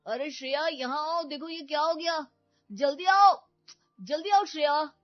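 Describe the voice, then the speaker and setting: A woman's voice: mid-range voice, a little fast, dry recording, muffled recording, soft background music, close to an everyday voice. A teasing young prankster husband, done by a woman. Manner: jokey, teasing, laughing.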